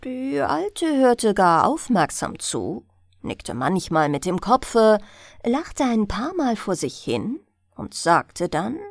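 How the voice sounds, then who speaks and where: A woman narrates a bedtime fairy tale as a scripted audiobook. Her delivery is slow and very melodic, with a slightly creaky edge. She tells it deliberately and expressively. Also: medium pitch, very animated, dry recording.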